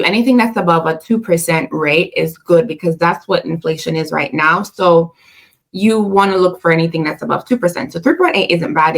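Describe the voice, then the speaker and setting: Gravelly Jamaican woman, chatty finance host. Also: lowish voice. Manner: chatty, with fillers and self-corrections. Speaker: personal finance channel host. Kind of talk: casual explainer talking to camera.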